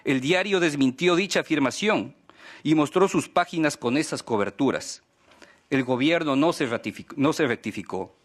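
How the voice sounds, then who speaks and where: A man's voice: on the high side, lively intonation, normal speed. A man, reading out a formal prepared statement as an advocate at a hearing. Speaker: press freedom advocate speaking at a human rights hearing.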